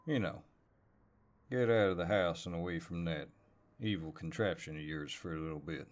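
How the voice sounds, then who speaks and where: A man playing a flirtatious, easygoing cowboy neighbor with a deep voice and a folksy American Southern drawl, in an intimate roleplay audio. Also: natural voice. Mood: amused, bashful. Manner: folksy drawl, playful and unhurried.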